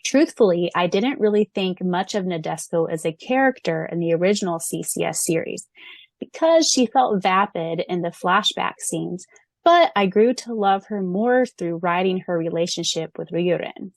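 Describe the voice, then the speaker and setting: A woman co-hosting a casual fan podcast, chatting and reading aloud in an excitable, expressive way. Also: on the low side, moderate pace, no echo. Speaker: podcast co-host.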